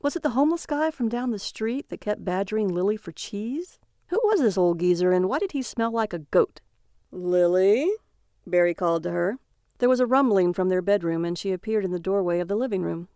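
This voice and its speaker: A woman reading a dark comic short story in an even, measured way. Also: mid-range voice, no room sound, studio quality. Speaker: story reader. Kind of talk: scripted narration of a dark comic tale.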